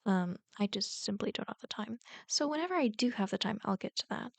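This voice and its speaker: Woman chatting casually, close and dry, slightly creaky. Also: medium pitch, expressive, fairly quick, dry recording. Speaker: ASMR roleplay creator. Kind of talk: casual chat to viewers.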